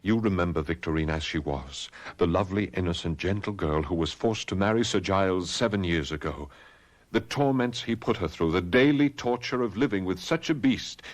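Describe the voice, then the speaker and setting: An actor playing a steady, commanding man who cautions his friend, in measured, soothing old-time radio drama style. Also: sits low. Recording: noisy vintage radio drama recording.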